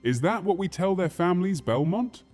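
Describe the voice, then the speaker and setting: A man playing a brash, foul-mouthed, battle-hardened soldier in a fantasy audio drama, with a crude, forceful manner. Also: high voice, lively intonation, normal speed, faint music behind. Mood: bitter, angry.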